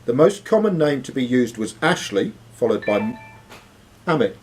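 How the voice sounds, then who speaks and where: A man reads out quiz questions as the quizmaster. His pitch moves around in a lively way at a middling height. He is recorded live in a room with a faint layer of noise around him. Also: moderate pace. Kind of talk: reading quiz questions aloud to contestants. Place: social club room with players around.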